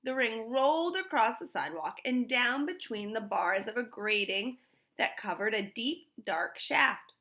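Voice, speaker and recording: A woman reading a children's storybook aloud in an expressive style. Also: on the high side, a little slow. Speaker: storybook reader.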